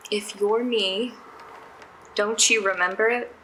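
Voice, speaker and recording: A woman playing an anxious, self-doubting young psychiatry intern in an audio drama, halting and flustered as she speaks. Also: medium pitch, steady tone, a bit bright, a little hiss or hum, poor audio. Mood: dejected, self-critical. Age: young adult. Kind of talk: naturalistic audio drama acting.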